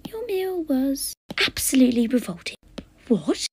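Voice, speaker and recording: A woman's voice: high-pitched, very animated, measured pace, slightly rough, no echo, pitched above the performer's own voice, full character voice. A woman voicing a haughty, scathing female food critic who talks dramatically and breathily, calling people darling.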